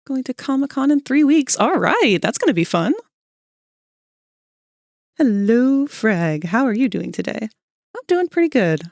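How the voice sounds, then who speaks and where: A woman's voice: high-pitched, sing-song, a little slow, vocal fry, pauses often, darkish tone, dry recording, studio quality. A woman streamer chatting casually with her viewers while sketching, her voice a little scratchy, greeting people by name and laughing often. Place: cold room at home.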